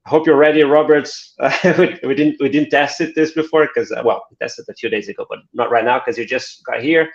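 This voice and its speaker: A man's voice: mid-range voice, fairly even intonation, a little fast, gravelly, few pauses. A man hosting a live webinar over a video call, speaking hesitantly with frequent fillers and repeats. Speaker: host. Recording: dull echoey video call audio.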